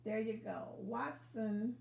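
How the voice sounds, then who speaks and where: High-voiced woman reading aloud to children. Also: takes it slowly, creaky, darkish tone. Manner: encouraging, asks young listeners questions. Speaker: storybook reader for children. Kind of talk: expressive read-aloud story narration. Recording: echoey room sound.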